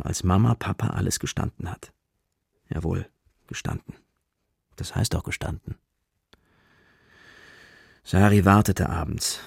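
A man narrating a children's radio play as a scripted story, with a gravelly voice. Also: sits low, darkish tone, no echo, studio quality. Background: music, plucked guitar.

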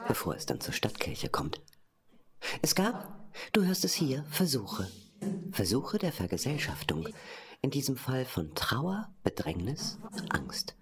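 A woman's voice: very deep voice, full character voice. A woman playing the narrator of a radio play, telling a choir's story in emphatic fragments and repeated words, addressing the listener directly.

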